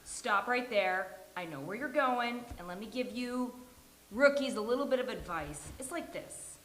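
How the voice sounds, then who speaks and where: A woman as a brusque, forceful business tycoon. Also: fairly high pitch, lively intonation, moderate pace, slight background noise. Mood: commanding, cynical. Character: brusque, impatient, cynical female business tycoon. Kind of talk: live sitcom table read. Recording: roomy live venue sound.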